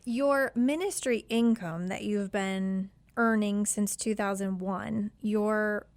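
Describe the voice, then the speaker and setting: A woman's voice: mid-range voice, steady tone, slow, no echo. A woman hosting a call-in financial advice show, friendly and patient as she explains things to a caller. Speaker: financial advisor host.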